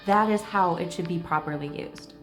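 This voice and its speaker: A woman doing casual game commentary and reading lines aloud, expressive, blunt and sassy. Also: on the low side, normal speed, soft background music. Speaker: video creator reacting to a game.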